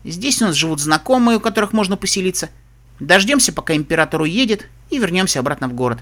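A man's voice: sits high, sing-song, fast talker, a bit bright. A man voicing a shy, chirpy young pony girl guide in an audiobook, speaking simply and eagerly. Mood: shy, grateful. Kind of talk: audiobook character voice.

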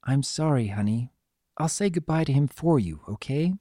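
A performer voicing a sympathetic, sorrowful adult female pony nurse with a soft, gentle manner, in a story reading. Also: medium pitch, lively intonation, normal speed, no echo, clean studio sound, close to an everyday voice.

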